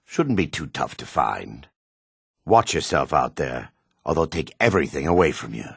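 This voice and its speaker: An actor playing a gruff, wary, embattled male dark elf with a raspy, gravelly voice in a fantasy video game. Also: a bass voice, sing-song, normal speed, no echo, studio quality, exaggerated voice. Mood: wary, bitter.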